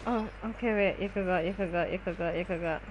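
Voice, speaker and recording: A woman's voice: on the low side, quick pace, slight background noise, low-quality recording. A woman chatting casually and in slang with co-op partners while playing a game, often repeating phrases. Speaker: gaming video creator. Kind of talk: casual gameplay chat with co-op partners.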